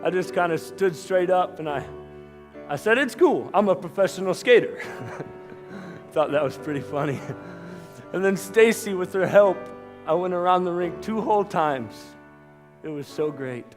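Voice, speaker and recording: A man's voice: high voice, expressive, faint music behind. A man playing a shy, self-mocking young man in a church stage monologue, joking about himself and then pleading with strong feeling. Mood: wry, upbeat. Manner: quick self-deprecating jokes that swell into impassioned outbursts.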